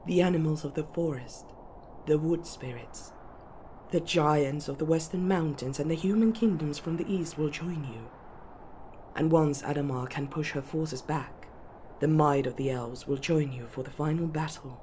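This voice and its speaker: A woman playing a composed, strategic elven noblewoman, speaking in formal, measured counsel for an ASMR fantasy roleplay. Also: low voice, warm tone, a little hiss or hum, close to an everyday voice. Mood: encouraging.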